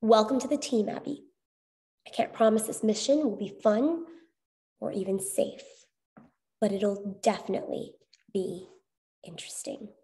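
A woman's voice: medium pitch, a little slow, pauses often, mellow, natural voice. A woman voicing a resolute, questioning female adventurer, the heroine of a story.

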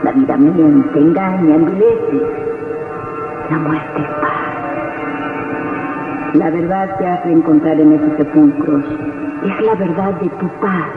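An actor playing Death as a calm, solemn young spectre in an old radio horror drama, speaking in a measured way. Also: male voice, on the high side, distant mic. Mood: grave, soothing. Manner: slow, solemn, measured delivery. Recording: tinny old radio, long reverb, music behind.

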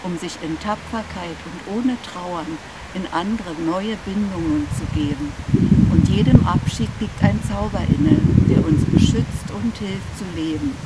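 An older woman on a park bench, reciting a poem and then answering interview questions hesitantly, with fillers. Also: mid-range voice. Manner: hesitant with fillers when speaking freely. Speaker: club member, interviewee. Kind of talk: poetry recitation and casual interview. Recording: outdoor recording with loud background noise.

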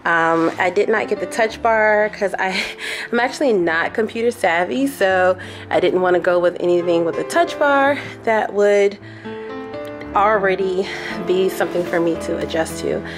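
A young woman vlogging an unboxing in a small living room, chatty and excitable, a little sniffly from a cold. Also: mid-range voice, normal speed, music in the background. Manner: chatty and excitable, addresses viewers as y'all. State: sniffly, getting over a cold. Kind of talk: casual unboxing vlog.

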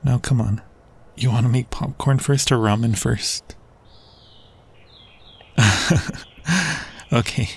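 Man acting a husky, lovestruck young guy. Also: mid-range voice, steady tone, slow pace, long pauses, heavily acted. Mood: playful. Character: lovestruck young man, anxious, devoted close friend. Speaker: ASMR roleplay performer. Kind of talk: intimate ASMR roleplay acting.

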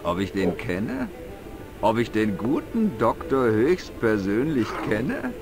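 A man's voice: fairly low pitch, huge pitch swings, takes it slowly, full character voice. An actor playing a simple, gullible, talkative village man in an adventure game, with naive, colloquial speech. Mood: chatty, baffled. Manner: naive, colloquial, exaggerated swings of emphasis. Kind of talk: dubbed adventure game dialogue. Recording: music behind the voice. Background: game music.

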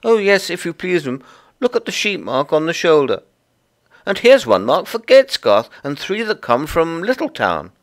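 A man's voice: a little high, big pitch swings, normal speed, pitched above the performer's own voice. A man voicing a fussy, polite, stout little hedgehog washerwoman in a storybook, with a flustered, deferential manner. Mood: eager, polite. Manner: bobbing, deferential, flustered, eager to explain. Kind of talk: storybook character voice.

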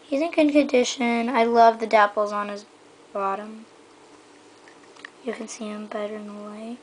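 A woman giving a casual, rambling show-and-tell in a hesitant, halting way that often trails off. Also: fairly high pitch, steady tone. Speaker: hobbyist giving a toy horse stable tour. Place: indoors in a room at home. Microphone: handheld camera held close by the speaker. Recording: noisy amateur home camera recording.

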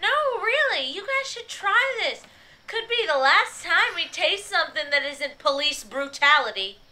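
A woman's voice: very high voice, very animated, unhurried, pushed and bright, echoey room, full character voice. A woman playing a wry, teasing young pirate in a radio drama, flippant and mocking.

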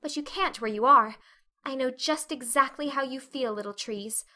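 A chatty, imaginative young girl, played by a woman, high and very bright in tone. She is earnest, effusive and dramatic, as in a storybook reading. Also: normal speed. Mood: eager, happy. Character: talkative, dreamy, dramatic eleven-year-old orphan girl.

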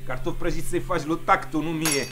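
An actor playing a rough, hard-drinking middle-aged father, blunt and self-justifying, in an audio drama. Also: a little high, fairly even intonation, bright, forceful tone. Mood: gruff, defensive. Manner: blunt, colloquial, repeats himself. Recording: echoey reverberant room, background noise.

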